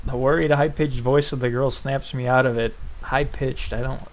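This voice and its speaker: A man's voice: mid-range voice, fairly even intonation, normal speed, a little hiss or hum. A man casually reading a visual novel aloud in the first person, breaking off into joking asides. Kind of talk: casual game read-along, narrating in first person.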